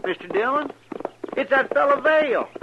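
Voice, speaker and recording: An actor playing an eager, deferential Old West sidekick with a folksy rural American drawl in a radio western. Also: male voice, very high-pitched, lively intonation, moderate pace. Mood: friendly, concerned. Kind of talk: 1950s radio western acting. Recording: muffled, very noisy old radio recording.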